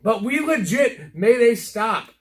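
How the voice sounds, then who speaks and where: A man's voice: high voice, sing-song, takes it slowly. A man hosting a sports podcast, chatting and telling stories casually in sweary, slangy buddy talk. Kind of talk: casual chat and storytelling.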